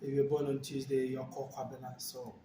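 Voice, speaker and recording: A Ghanaian man talking casually and thoughtfully, pausing and repeating words, recorded on a phone from a distance in a room. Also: mid-range voice, completely flat delivery, roomy sound, background noise. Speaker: guest. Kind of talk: casual conversation.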